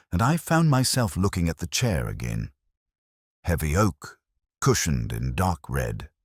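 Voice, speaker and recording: A man narrating a literary detective story in the first person, in a measured, solemn and reflective manner. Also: rumbling low, breathy edge, no room sound, clean studio sound.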